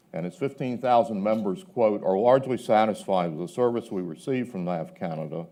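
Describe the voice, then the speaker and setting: A man speaking as a panelist, arguing his point in a measured, emphatic way at a live event. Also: deep voice, warm tone.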